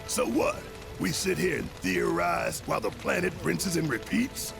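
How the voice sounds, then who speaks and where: A man's voice: fairly high pitch, huge pitch swings, a little slow, vocal fry, over music, heavily acted. A man playing an impassioned, theatrical activist in a video game cutscene. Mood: fired up. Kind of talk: dramatic video game dialogue.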